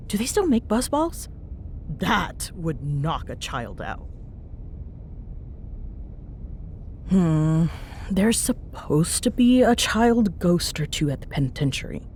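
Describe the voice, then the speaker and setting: A woman playing a teasing, bossy but fond young ghost hunter in an intimate ASMR roleplay, bantering sarcastically in a casual, murmuring way. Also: a little mellow. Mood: amused, teasing. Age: young adult. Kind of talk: ASMR audio roleplay, intimate one-sided chat. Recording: clear background noise with low rumble.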